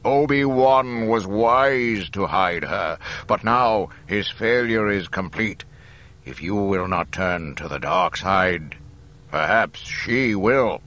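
A commanding, menacing dark lord. The voice is very low and unhurried, with a hard, forceful edge. Every phrase is weighed and ominous, like an older tyrant who expects obedience. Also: male voice, lively intonation. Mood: triumphant, bellowing. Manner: slow, ominous, deliberate delivery. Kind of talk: dramatic audiobook character voice.

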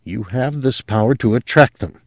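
A man's voice: mid-range voice, expressive, moderate pace, dry recording, lightly acted. Brief: a male reader as a shaken young man, clipped and urgent, in a dry close sound. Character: young man, tense and alarmed.

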